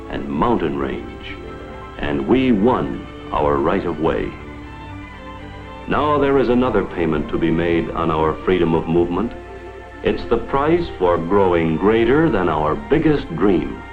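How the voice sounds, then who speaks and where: A man's voice: fairly low pitch, full character voice. An American man delivering scripted 1950s promotional film narration in an earnest, oratorical, dramatic manner. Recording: vintage 1950s film soundtrack, muffled.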